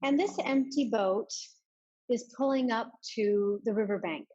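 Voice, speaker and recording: A woman guides a meditation at a very unhurried pace, leaving space between short, soft instructions. Her voice sits at a medium pitch with a husky edge. The recording is somewhat dull and lo-fi. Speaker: yoga and meditation teacher.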